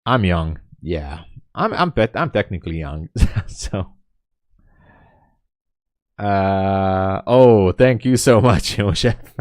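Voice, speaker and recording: A man in his late thirties with a low, deep voice talks casually on a live trading stream. He is chatty and candid and responds to viewers' comments. The sound is dry and close, with almost no room echo. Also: expressive, slow pace, long pauses, darkish tone. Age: 30s. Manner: candid and self-deprecating. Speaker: day trader streaming live. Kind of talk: casual livestream chat.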